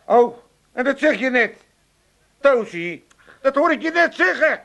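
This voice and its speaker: A man's voice: very high voice, sing-song, measured pace, vocal fry, pushed and bright, exaggerated voice. An actor playing a grumpy, mischievous old father with an Amsterdam accent in a comic radio play, muddled and mocking, stumbling over his words. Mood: gleeful mocking. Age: older.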